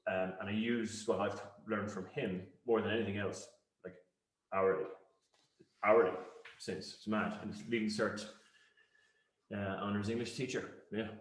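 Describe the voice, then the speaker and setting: A middle-aged Irish man with a Cork accent answers interview questions conversationally, reflective and halting as he rephrases his thoughts. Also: fairly low pitch, fairly even intonation. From Cork, Ireland. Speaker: interview guest, company founder. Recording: roomy, echoey sound.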